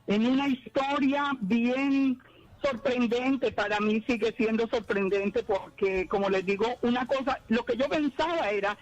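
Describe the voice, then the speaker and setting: A woman's voice: fairly high pitch, unhurried. Woman in her sixties, dull line. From Colombia. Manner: earnest, with frequent restarts. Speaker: guest, Torah teacher. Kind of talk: interview answers, testimony.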